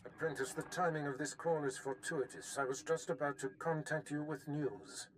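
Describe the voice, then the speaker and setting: An actor playing a scheming, imperious older Sith master in a video game, haughty, theatrical and deliberate. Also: male voice, a little high, noisy background, natural voice. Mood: smug.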